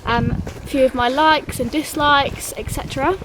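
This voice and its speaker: A chatty young woman from England with a high voice talks casually, full of ums and little laughs at herself. She is walking outdoors in a forest, recorded on a phone with loud background noise all around her. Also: steady tone, moderate pace. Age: 20s. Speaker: vlogger. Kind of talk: casual chat while walking.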